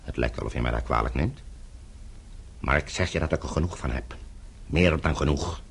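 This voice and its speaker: An actor playing a weary, bitter older politician from behind the Iron Curtain in a radio play, brooding and confessional. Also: male voice, extremely low pitch, normal speed, exaggerated voice. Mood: determined, bitter. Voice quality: gravelly, husky. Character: weary, bitter older Eastern Bloc politician, guilt-ridden. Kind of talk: radio drama acting.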